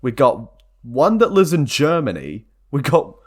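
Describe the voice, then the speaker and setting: A man's voice: mid-range voice, big pitch swings, unhurried, a little mellow. Earnest young man, casual rambling vlog talk. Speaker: video creator.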